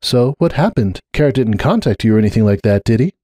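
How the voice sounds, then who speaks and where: A man voicing a gentle, sympathetic young female unicorn who sounds concerned and reasonable in a story reading. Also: mid-range voice, expressive, fast talker, no echo, studio quality, voice pushed up, natural voice.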